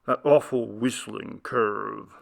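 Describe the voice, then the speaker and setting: A frightened, haunted man as a male storyteller plays him confesses in slow, halting phrases with a creaky edge. He sounds burdened and anguished, like someone in a classic ghost story. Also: fairly even intonation, exaggerated voice. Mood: haunted dread. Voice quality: breaking, low, trembling voice. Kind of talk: ghost story audiobook character.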